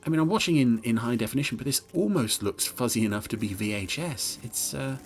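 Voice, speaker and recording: A man hosting a podcast, giving wry, hesitant and expressive running commentary on a television episode. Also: mid-range voice, fairly quick, soft background music. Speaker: podcast host.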